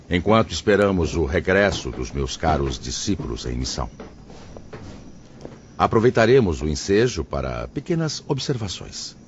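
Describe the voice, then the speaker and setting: Male actor for venerable Brazilian spirit mentor: very low, expressive, paternal, formal; muffled audio drama recording. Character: venerable kindly male spirit master, gentle and solemn. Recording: muffled, noticeable background hiss.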